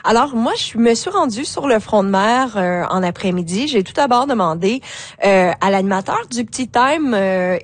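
A woman's voice: on the high side, expressive, normal speed. A woman presenting radio news, hesitant with many fillers. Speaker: radio host and reporter.

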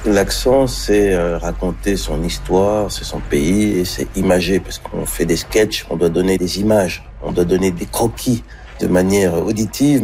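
A male comedian answering an interview, thoughtful and hesitant with frequent pauses. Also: low-pitched, dark tone, a little hiss or hum.

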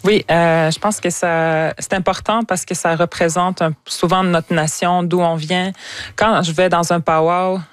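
A woman's voice: fairly low pitch, fairly even intonation, a little slow. A woman, a guest explaining dance categories hesitantly. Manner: hesitant, many fillers and restarts. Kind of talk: explanatory interview answers.

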